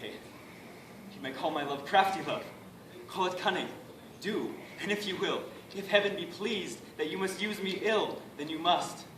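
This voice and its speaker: A man playing a gentle young prince in an amateur Shakespearean monologue, pleading in earnest, deliberate verse. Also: sits high, lightly acted. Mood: pleading, wounded. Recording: echoey, noisy room, distant microphone.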